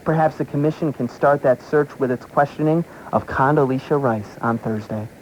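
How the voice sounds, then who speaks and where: A man's voice: medium pitch, normal speed, a little hiss or hum, poor audio. An American man reading political commentary aloud as a radio talk show host, taped from a shortwave broadcast. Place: radio broadcast, heard through a shortwave receiver.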